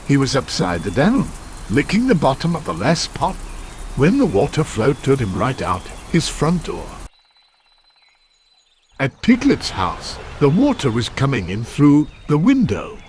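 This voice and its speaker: A man narrating a children's story from a script in an expressive storytelling manner. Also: mid-range voice, unhurried, slight background noise.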